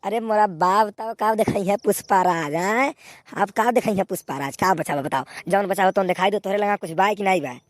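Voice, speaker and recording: A woman's voice: mid-range voice, moderate pace, dry recording, natural voice. Woman voicing a scolding village cartoon policeman, dry recording. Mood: exasperated. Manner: scolding, repetitive commands. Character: exasperated, bossy male village policeman at a checkpoint. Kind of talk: comic cartoon dialogue.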